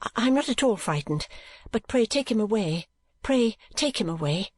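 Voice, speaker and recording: Sensible young daughter, read by a woman, earnest and polite, lightly raised pitch. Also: animated, normal speed, dry recording, natural voice.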